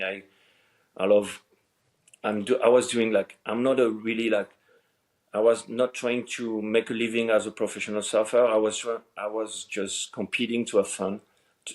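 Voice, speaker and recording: A middle-aged man tells his story in a casual interview, in a somewhat low voice. He stops and restarts his words often as he searches for the English. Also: gravelly. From Senegal. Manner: halting, restarts words, speaks non-native English. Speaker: podcast guest, surf coach.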